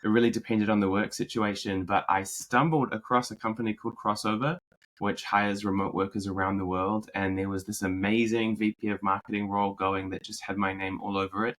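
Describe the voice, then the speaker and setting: Podcast guest: New Zealand man in his thirties, deep-ish voice, easygoing storyteller with lots of fillers. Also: fairly quick. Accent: New Zealand, mixed with American. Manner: relaxed storyteller, many fillers and restarts. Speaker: podcast guest, marketing executive. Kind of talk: conversational interview.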